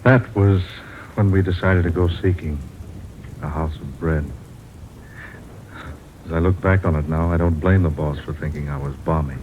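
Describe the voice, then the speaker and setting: A man's voice: sits low, fairly even intonation, normal speed, pauses often, natural voice. An actor playing a bemused, fond writer husband who narrates his travels in an old-time radio drama style. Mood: wry, reflective. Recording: muffled noisy old radio recording, rain behind.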